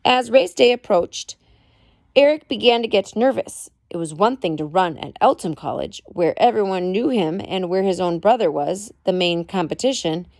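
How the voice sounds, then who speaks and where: A woman reading a biography aloud at home in a measured storytelling way, with expressive emphasis. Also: medium pitch, dry recording. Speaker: parent reading aloud to child. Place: at home with a child nearby.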